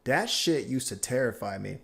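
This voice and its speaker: A man's voice: medium pitch, lively intonation, normal speed, vocal fry. A man hosting a reaction stream, chatting casually with lots of slang and swearing and telling stories in a loose, rambling way. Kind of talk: casual reaction chat, reading viewer comments.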